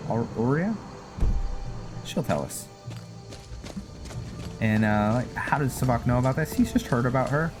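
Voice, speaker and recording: A man's voice: mid-range voice, animated, a little slow, pauses often. A man giving casual, informal playthrough commentary and roleplay, thinking aloud as he goes. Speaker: gaming host and role-player. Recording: loud game music and rain behind. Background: game music, rain sounds.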